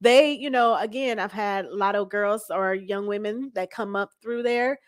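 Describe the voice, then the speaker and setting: A woman's voice: mid-range voice, normal speed, dry recording, studio quality. A middle-aged American woman telling personal stories conversationally as an interview guest. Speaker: interview guest, church first lady and youth mentor.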